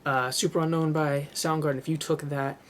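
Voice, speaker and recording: A man's voice: fairly high pitch, level tone, brisk, a bit bright, a little hiss or hum. A young man casually showing off his music collection, rambling with lots of fillers and enthusiastic asides. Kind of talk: casual show-and-tell vlog.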